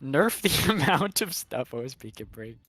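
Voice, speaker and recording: A man's voice: fairly high pitch, very animated, normal speed, bright, forceful tone, breathy edge, no room sound. Joking man chatting over games. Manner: laughs often, joking. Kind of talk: casual gaming chat.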